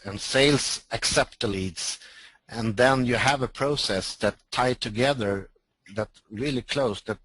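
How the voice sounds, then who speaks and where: A man with a Swedish accent answers a webinar question in halting English, often restarting while he searches for words. His voice sits at an ordinary pitch. The recording is dry and close. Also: fairly bright tone, no room sound, low-quality recording. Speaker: webinar co-host.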